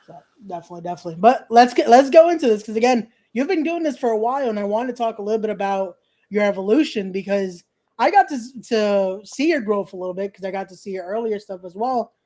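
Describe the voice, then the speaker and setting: A podcast host from Ecuador leads a relaxed interview with a mellow, darker tone. The host talks with eager enthusiasm and often doubles back on words mid-sentence. The voice sits at a middling pitch. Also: fast talker. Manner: enthusiastic, repeats words while searching for them. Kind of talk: casual interview chat.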